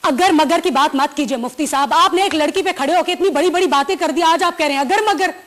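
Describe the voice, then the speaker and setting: A woman in her twenties with a Pakistani Urdu accent defends herself in a heated television debate. Her voice is high. She talks back with indignant force and slips English words into her speech. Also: quick pace, a bit bright. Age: 20s. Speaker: actress guest.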